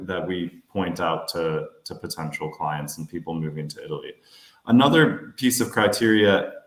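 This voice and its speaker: An American man in his thirties, a financial adviser giving a webinar presentation in a steady, explanatory way with some fillers. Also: low voice, reverberant. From United States.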